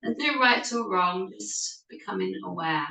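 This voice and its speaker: Slow woman guiding yoga, distant and echoey. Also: mid-range voice, expressive, vocal fry. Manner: gentle, soothing, unhurried guidance with pauses. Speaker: yoga teacher. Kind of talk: guided meditation and yoga class instruction. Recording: echoey room, distant microphone.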